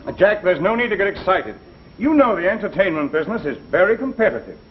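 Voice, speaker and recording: An actor playing an evasive, placating sponsor executive in 1950s broadcast comedy, hesitant and stammering, on an old recording. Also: male voice, sits high, sing-song, fast, slight background noise. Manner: hesitant, stammering, smooth-talking. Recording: muffled vintage broadcast with audience.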